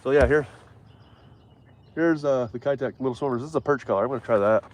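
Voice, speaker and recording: A man's voice: medium pitch, fast talker. A man with a stuffy nose, casually talking through a live fishing stream from out on a lake, with lots of fillers and restarts. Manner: rambling, many fillers and restarts. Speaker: fishing livestream host.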